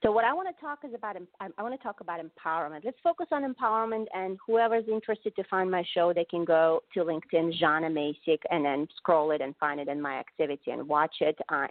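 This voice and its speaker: A woman's voice: on the low side, expressive, fast, dry recording. A woman guest, an empowerment coach, speaking earnestly and enthusiastically in a live online interview. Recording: dry, phone-like narrow audio.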